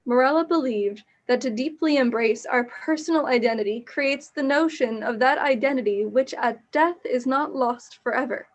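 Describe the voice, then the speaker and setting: A woman acting as a somber witness in a gothic radio play. The voice sits fairly high, and the delivery is measured and reflective, recounting eerie things with solemn care. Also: lo-fi sound.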